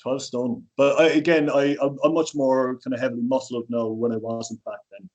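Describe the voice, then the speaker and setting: A man's voice: medium pitch, little pitch movement, moderate pace, darkish tone, dull audio. Irish man chatting on a podcast. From Ireland. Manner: rambling, many fillers and restarts. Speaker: guest personal trainer. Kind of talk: casual podcast chat.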